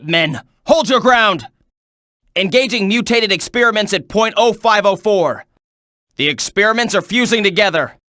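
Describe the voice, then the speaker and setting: A video game soldier in the thick of battle. The delivery is clipped and urgent, with a sharp, forceful edge and animated swings in pitch. It sounds close and dry. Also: male voice, no room sound. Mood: grim, resolute. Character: tense military soldier barking combat reports and orders.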